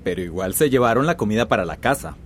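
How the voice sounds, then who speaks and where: An actor playing an arrogant, scheming Central American company boss in a radio drama, pompous and domineering. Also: male voice, on the low side, animated, quick pace, a little hiss or hum, close to an everyday voice. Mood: impatient, scornful. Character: arrogant, scheming soft-drink company boss, contemptuous of villagers.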